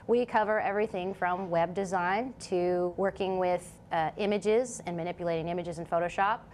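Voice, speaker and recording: A woman's voice: fairly low pitch, fairly even intonation, moderate pace, darkish tone, slight background noise. A woman speaking as a college instructor, giving an introductory presentation to camera. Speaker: college digital design instructor.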